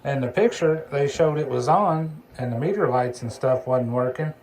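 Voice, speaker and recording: A man's voice: medium pitch, normal speed, a crowd in the background. A man chatting casually as a CB radio hobbyist while demonstrating a repaired radio. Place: indoor electronics workbench. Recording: clearly noisy workbench recording, background hiss.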